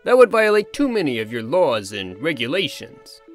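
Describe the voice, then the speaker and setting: This scheming farm animal acted by a man talks in a raised pitch that swings widely. The manner is theatrical and emphatic, like a comic dub, recorded dry over faint music. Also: wildly swooping pitch, normal speed. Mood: indignant.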